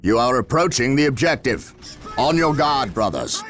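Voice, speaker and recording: A man's voice: medium pitch, very animated, moderate pace, a bit bright, faint music behind. A man voicing a stern, righteous warrior commander in a video game, with an earnest, clipped, commanding delivery. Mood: urgent, commanding. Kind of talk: dramatic military game acting.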